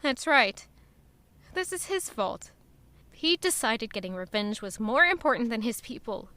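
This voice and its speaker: Bright, high-voiced spirited young noblewoman, played by an actress. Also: very animated, a little slow, no echo, heavily acted. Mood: bitter. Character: spirited, guarded young noblewoman, lady-in-waiting on royal business. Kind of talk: audio drama dialogue and first-person narration.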